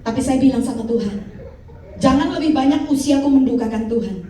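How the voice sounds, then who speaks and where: High-voiced woman preaching in an echoey hall. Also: brisk, slight background noise. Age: 50s. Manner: lively preaching with call-and-response. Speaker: pastor. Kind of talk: sermon. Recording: echoey hall, mic from far off.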